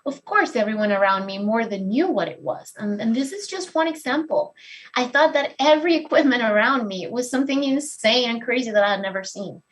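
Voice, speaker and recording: Woman, 30s, candid expressive storytelling, pokes fun at herself. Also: on the high side, brisk. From Venezuela. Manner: candid and expressive, self-deprecating. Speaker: speaker giving a talk. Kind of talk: personal story talk.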